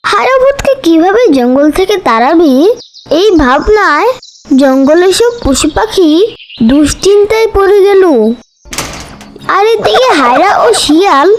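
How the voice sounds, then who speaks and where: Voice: very high-pitched, expressive, measured pace. A narrator reading a scripted children's animal story.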